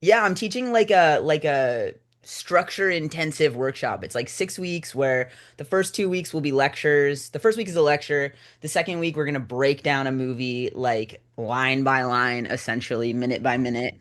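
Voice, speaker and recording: A man's voice: medium pitch, normal speed, hardly any pauses, fairly bright tone. A young man, a screenwriter and teacher, explaining his work in casual interview chat. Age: young adult. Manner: explanatory, informal.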